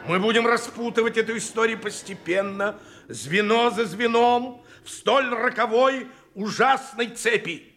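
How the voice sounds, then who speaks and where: A man's voice: extremely high pitch, measured pace, over music. An actor playing a pompous, bombastic male orator, declaiming indignant accusations in theatrical audio drama style. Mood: insinuating, outraged. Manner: pompous, declamatory, rhetorical questions. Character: bombastic male orator haranguing city fathers with scandalous accusations.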